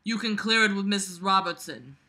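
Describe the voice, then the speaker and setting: A tall male gym teacher from a novel , as a woman reads him, talks bluntly and casually. He is chummy and familiar, and the voice is very bright and forceful. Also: medium pitch, lively intonation, a little fast. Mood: brisk.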